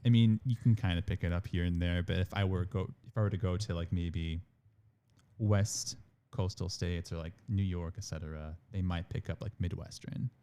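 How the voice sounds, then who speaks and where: A man's voice: deep voice, fairly even intonation, moderate pace, warm tone, clean tone. A man being interviewed on a podcast about his admissions work, talking loosely with many fillers like "like" and "again.". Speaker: international admissions manager, guest.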